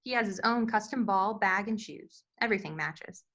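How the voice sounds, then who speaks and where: Bright, crisp-toned woman narrator. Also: medium pitch, moderate pace. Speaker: narrator reading stage directions. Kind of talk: scripted narration, screenplay table read.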